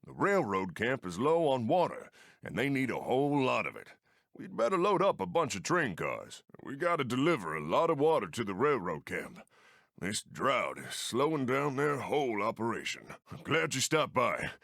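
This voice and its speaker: Old West train engineer, American accent, folksy, friendly, highly animated intonation, dry studio sound, video game character. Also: male voice, moderate pace, gravelly, dry recording, heavily acted. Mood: helpful, earnest. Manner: folksy, colloquial drawl of the frontier. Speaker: mission-giver game character.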